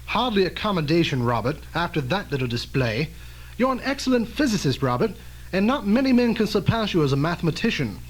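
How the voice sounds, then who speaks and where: A man acting as an earnest older scientist in a radio play. His high voice swings expressively as he speaks in formal, measured, admonishing phrases. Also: very animated, poor audio. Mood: stern, reproving. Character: earnest, cautious older male scientist, protective mentor. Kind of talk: old-fashioned radio drama acting.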